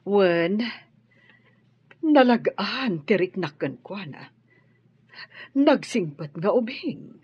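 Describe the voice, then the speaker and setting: A woman's voice: medium pitch, very animated, very slow, long pauses, husky, exaggerated voice. A woman playing an earnest, well-off madam in an Ilocano radio drama, searching for her past. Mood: guarded. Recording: dull sound with music behind.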